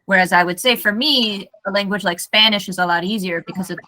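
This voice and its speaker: American woman, bright and clear, friendly online English tutor. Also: mid-range voice, brisk. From United States. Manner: friendly, self-correcting, reads text aloud carefully. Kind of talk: casual online lesson.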